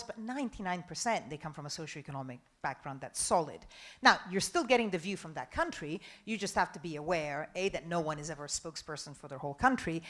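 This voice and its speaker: A middle-aged Italian-born woman journalist with a mixed Italian-English accent, answering audience questions in a chatty, animated way. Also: on the low side. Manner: chatty, animated, quick asides with restarts. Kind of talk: panel Q&A talk.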